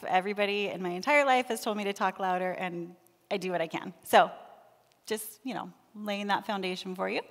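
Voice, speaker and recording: A young woman with a soft voice who speeds up when excited, giving a sermon in a church sanctuary. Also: mid-range voice. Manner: soft-spoken, talks fast when excited. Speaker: lay preacher. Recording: long reverb of a church hall.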